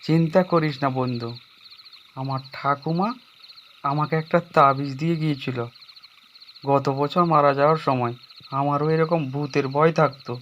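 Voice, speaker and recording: A man acts as an anxious young man in a horror cartoon, his voice pitched a little high. He delivers his tale with drama and suspense, over faint noise of night insects and frogs. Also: steady tone, normal speed, long pauses, a bit bright, lo-fi sound, natural voice. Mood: frightened. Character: young man haunted by his guilty past, anxious storyteller. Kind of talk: dramatized first-person horror story narration.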